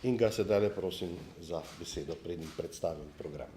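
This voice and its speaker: Slovenian man, formal festival director, lowish dark voice, slight background noise. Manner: formal, deliberate, with fillers. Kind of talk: formal speech at press conference.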